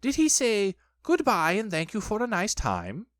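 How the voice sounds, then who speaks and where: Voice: mid-range voice, wildly swooping pitch, moderate pace, dry recording, clean studio sound, pitched above the performer's own voice. A storybook reader voicing a bossy, self-important rabbit with a pompous, fussy manner. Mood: huffy. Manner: pompous, officious.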